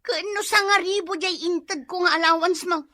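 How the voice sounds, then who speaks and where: A woman's voice: fairly even intonation, moderate pace, dry recording, studio quality. A woman with an Ilocano accent voicing a furious, scolding wife in a comedy radio drama. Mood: suspicious, scolding. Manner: shrill, scolding, shouting. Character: furious nagging wife who throws plates at her husband.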